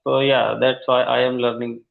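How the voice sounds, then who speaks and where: A man from India with an Indian accent practicing spoken English. He pauses often with fillers, and his grammar shows English is not his first language. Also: mid-range voice, level tone, vocal fry. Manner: hesitant, many fillers, non-native grammar. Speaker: English learner. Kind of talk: online conversation practice. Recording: tinny phone-like call audio.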